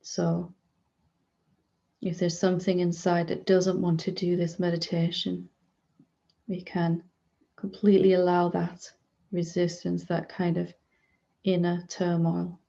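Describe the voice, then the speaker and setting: Gentle, low-toned woman guiding meditation, creaky and mellow. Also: monotone, dull audio. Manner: gentle, unhurried, with long pauses between short phrases. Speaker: spiritual teacher leading a guided meditation. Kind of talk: guided meditation, unscripted gentle prompting.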